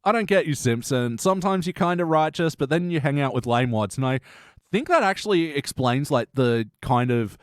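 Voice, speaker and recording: A man hosts a casual review podcast with a very animated, swinging delivery. He talks freely and laughs easily between thoughts. The sound is close and dry, with little room on it. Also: fairly high pitch, fairly quick, smooth, dry recording. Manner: chatty, laughs often while talking. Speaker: podcast host.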